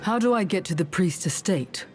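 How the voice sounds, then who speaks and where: A woman's voice: on the low side, lively intonation, moderate pace, lightly acted. A woman playing a blunt, defiant warrior heroine in a video game, terse and confrontational. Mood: curt.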